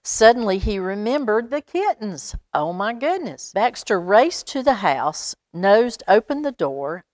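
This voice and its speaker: Woman reading a storybook, unhurried pace. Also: medium pitch, dry recording, studio quality. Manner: expressive, chatty asides to young listeners. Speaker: library storytime reader. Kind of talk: children's picture book read-aloud with asides.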